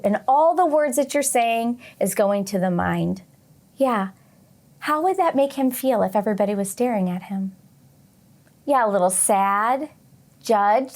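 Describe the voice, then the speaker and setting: High-voiced woman teaching young children. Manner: expressive, asks questions and pauses for imagined answers. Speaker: teacher hosting a children's read-along. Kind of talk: read-aloud and lesson addressed to young children.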